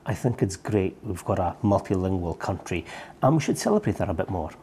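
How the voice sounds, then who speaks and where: A man's voice: low voice, expressive, a little fast, warm tone, slight background noise. A man from Scotland answering interview questions thoughtfully, with small restarts. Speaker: interview guest, poet. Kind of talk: live interview.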